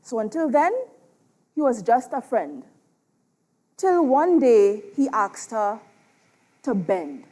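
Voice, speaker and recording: A woman with a Trinidadian accent performing dramatic spoken word poetry on stage, emphatic and theatrical, with deliberate pauses. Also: on the high side, big pitch swings, warm tone. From Trinidad and Tobago.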